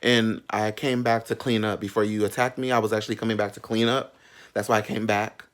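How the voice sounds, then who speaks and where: Man, thirties, somewhat low pitch, casual storytime vlogger, sassy, slangy, candid asides. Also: flat delivery, fairly quick, fairly bright tone. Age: 30s. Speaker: vlogger telling a personal story. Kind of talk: casual, animated storytime chat.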